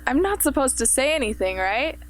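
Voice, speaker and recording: A woman's voice: high voice, sing-song, fairly quick. A woman playing a guarded, stubborn and awkward teenage girl in a radio drama. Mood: awkward, coy.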